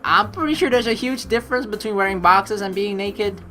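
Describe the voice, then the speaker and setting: A woman's voice: mid-range voice, a little fast, fairly bright tone, soft background music. A young woman doing a playful let's play, switching between casual commentary and theatrical narration read aloud.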